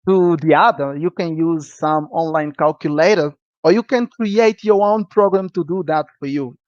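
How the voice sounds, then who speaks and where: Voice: mid-range voice, no echo. Teacher, dull dry recording. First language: Portuguese. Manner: checks in with a frequent "okay?". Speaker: programming teacher. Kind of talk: live-streamed lesson.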